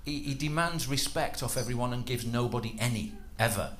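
A man's voice: medium pitch, fairly quick, a crowd in the background. A man on a live comedy panel, teasing the audience with crude, mock-knowing asides. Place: comedy club stage before an audience.

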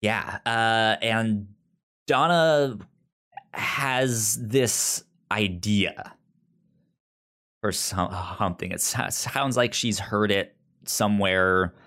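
Slow, halting man on a podcast. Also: fairly low pitch, fairly bright tone. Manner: halting, repeats words and stammers restarts. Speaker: podcast co-host. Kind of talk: casual TV recap chat.

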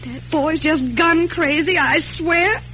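A woman's voice: high-pitched, slow pace, sharp tone, full character voice. An actress playing a fretful, long-suffering frontier mother with a folksy rural American accent in an old radio western. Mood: exasperated. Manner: folksy, complaining. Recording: muffled, faintly hissy vintage radio recording.

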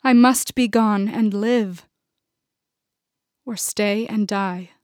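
A woman's voice: mid-range voice, fairly even intonation, slow pace, darkish tone, no room sound, natural voice. A woman voicing a wry young male drama student. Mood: reciting. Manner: dry, wry quips.

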